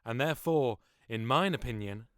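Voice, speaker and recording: A man's voice: mid-range voice, expressive, moderate pace, a bit bright, dry recording, clean studio sound, close to an everyday voice. A man playing a commanding, scheming nobleman, speaking persuasive, declamatory verse in a Shakespearean audio drama. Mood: scornful, insistent. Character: commanding, scheming duke, smoothly argumentative nobleman.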